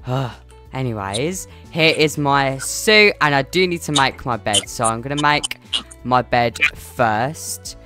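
Woman as rambling game dad, low pitch, bright tone, slightly awkward, faint music. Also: animated, slow pace, heavily acted. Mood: chatty. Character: chatty, slightly awkward father of a young boy.

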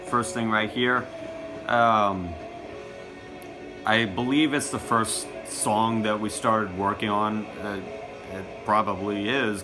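A young man talks casually to the camera in a fairly low voice, showing off a collection. He is recorded in a small home room, with prominent guitar music behind him. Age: teens to early twenties. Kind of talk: casual show-and-tell to camera.

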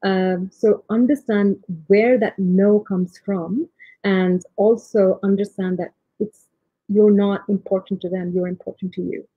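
A soft-spoken woman in her thirties from India gives a reflective leadership talk from home over a video call, with frequent ums and restarts. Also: mid-range voice, steady tone, unhurried, no echo. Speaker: tech leader giving a conference talk. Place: home room, remote talk. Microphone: laptop or webcam mic, video call. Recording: tinny, husky dry video-call audio.

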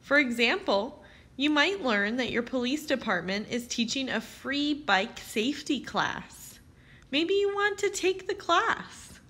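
Slow, lively woman reading to children. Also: sits high. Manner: expressive, carefully phrased, addressing young children. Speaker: teacher reading aloud. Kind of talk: children's read-aloud narration.

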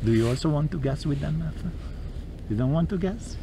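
A man's voice: on the high side, animated, fairly quick, noisy background. A man in his thirties with an Italian accent, hosting a casual travel vlog in a playful, teasing way, speaking English with small slips outdoors in the snow. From Italy. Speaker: vlog host. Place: outdoors in snow at a car charging station.

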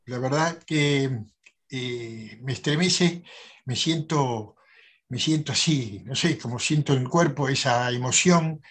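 A man's voice: medium pitch, takes it slowly, a bit bright. An older man hosting a conversational interview over a video call. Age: older, 60s or over. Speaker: interview host. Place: indoors at a desk, on a video call. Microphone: video call, close to the camera.

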